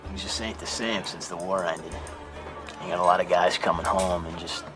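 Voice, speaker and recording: A man's voice: low-pitched, fairly even intonation, moderate pace, lightly acted. A man playing a world-weary bartender, talking in a laconic, colloquial way in a film drama. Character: world-weary bartender in a rough spaceport bar. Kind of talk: casual film dialogue. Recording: film soundtrack, music behind.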